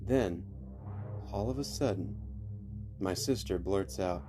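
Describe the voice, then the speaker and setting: A man reading creepy stories as a podcast host, in scripted narration with measured, deliberate phrasing and dramatic pauses. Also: deep voice. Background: faint music.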